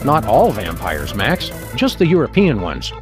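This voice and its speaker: An actor voicing an earnest, unflappable dog detective with an American accent and a wordy, mock-official delivery for an animated comedy game. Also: male voice, mid-range voice, a little slow. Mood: dry. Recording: loud music and rain behind.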